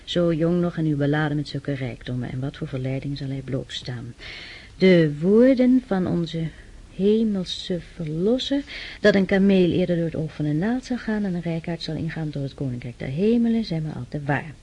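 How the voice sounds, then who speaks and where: An actress playing a devout, dutiful young noblewoman in a Dutch radio drama, gentle, hesitant and earnest. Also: low-pitched, expressive, normal speed, slightly rough. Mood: earnest, pious.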